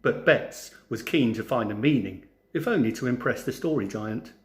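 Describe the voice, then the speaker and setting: Animated man reading to children, echoey room. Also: medium pitch, normal speed. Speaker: teacher reading a story to children. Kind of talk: storybook narration for children. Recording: roomy, echoing sound.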